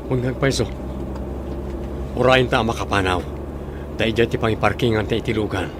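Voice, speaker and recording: A man with a Filipino accent playing an observant gentleman instructing his driver in a radio drama. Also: on the low side, a little fast, close to an everyday voice. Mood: calm, observant. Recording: noisy car scene in radio drama.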